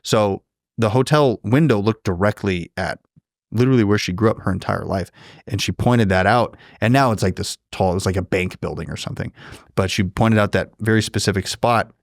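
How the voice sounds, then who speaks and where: A man's voice: lowish voice, brisk, no echo, clean studio sound. An American man chatting casually as a podcast co-host, telling stories in profane, laid-back bro slang. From raised in a household of Arabic grandparents and cousins, half Vietnamese. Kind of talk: casual chat, storytelling.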